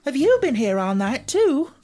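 Man voicing a high-pitched, curious little girl. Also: big pitch swings, normal speed, a little mellow, soft background music. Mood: surprised. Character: brave, cheerful little girl, curious and polite. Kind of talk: audiobook character voice.

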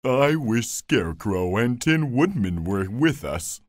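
A woman narrator puts on a large male animal from a children's tale. The voice sinks low and moves deliberately. It sounds solemn and grand. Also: sing-song, a little mellow, no echo, clean studio sound, full character voice. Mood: wistful. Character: large male storybook beast, lion or monkey king, solemn.

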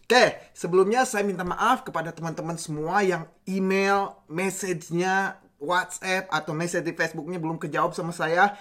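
A man in his thirties, recovering from a cold, gives an animated motivational talk to the camera from a small home office, checking in often with his listeners. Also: sits high, normal speed, hardly any pauses. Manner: animated, gesturing with both hands, many restarts and "oke?" checks. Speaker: motivational channel host. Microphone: camera at desk distance.

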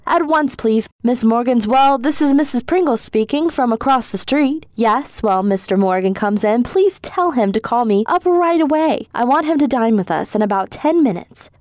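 Radio drama: excitable, imperious society mother, highish pitch, played by a woman. Dramatic exclamations, close dry recording. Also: moderate pace, few pauses, no echo, natural voice. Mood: flustered. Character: fussy, excitable society hostess, humorously charming mother.

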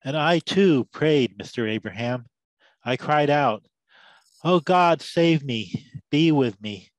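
A man's voice: mid-range voice, unhurried, no echo, close to an everyday voice, low-quality recording. A man performs an embittered old-soldier storyteller in a radio drama, well-oiled and loquacious. He delivers war memories like scripture, with a biblical roll to his phrases, in a dry, close sound. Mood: grave, sorely afflicted. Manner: loquacious, sermon-like oratory with biblical cadence. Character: embittered drunken war veteran Big Mike, preaching battlefield tales. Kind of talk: dramatised story, theatrical monologue.

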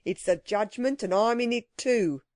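A woman's voice: on the high side, moderate pace, a little mellow, dry recording, clean studio sound, close to an everyday voice. A woman voicing a gloomy, reproachful businessman with a scolding, resigned manner in a storybook reading. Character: gloomy, reproachful male stockbroker partner.

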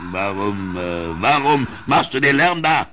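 A tyrannical king in an old radio play, imperious and blustering. His voice is low and hard-edged. He takes his time over each command. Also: big pitch swings, unhurried, sharp tone, a little hiss or hum, heavily acted. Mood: suspicious, gruff. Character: tyrannical, boastful king with a cruel streak. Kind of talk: vintage German radio play acting.

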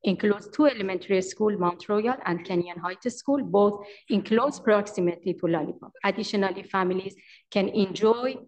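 A woman's voice: medium pitch, moderate pace, darkish tone, poor audio. Iranian-accented woman giving a prepared presentation. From Iran. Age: 40s. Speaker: daycare owner and manager presenting. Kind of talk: prepared presentation at public meeting. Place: large council chamber. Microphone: podium microphone.

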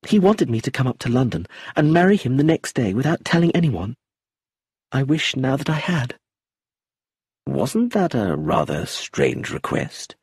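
A grieving young woman answers bluntly and firmly in a slightly raised voice, as a man reading the story puts her on. The voice is breathy and husky, and the sound is dry. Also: mid-range voice, lively intonation, brisk, darkish tone, dry recording, pitched above the performer's own voice. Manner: terse, firm, a little raised.

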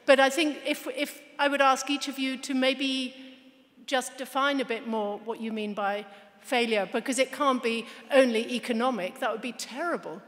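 A woman's voice: sits high, fairly even intonation, moderate pace, fairly bright tone. A woman in the audience asking the panel a question, earnest and slightly rambling. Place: large hall. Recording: hall reverb.

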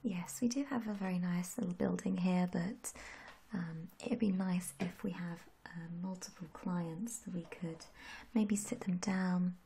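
A young woman in a soft-spoken ASMR roleplay, gentle and reassuring, with a breathy, often whispery voice. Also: on the low side, fairly even intonation. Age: young adult. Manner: gentle, unhurried, polite reassurance. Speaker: ASMR roleplayer as a tattoo artist. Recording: audible background noise and hiss.

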